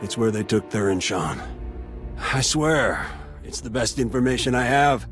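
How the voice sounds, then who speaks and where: An actor playing a zealous military captain and conspirator in a sci-fi video game cutscene. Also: male voice, medium pitch, expressive, measured pace, over music, close to an everyday voice. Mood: shaken, pleading. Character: male military captain, zealous conspirator, rattled under threat.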